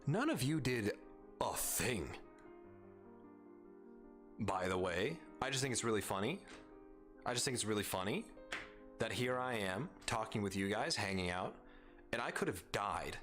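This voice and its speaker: Brief: man, Arizona accent, casual streamer banter, very lively pitch. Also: unhurried, pauses often, soft background music. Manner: playful, teasing, rambling jokes. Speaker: live streamer host. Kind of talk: casual chat with viewers.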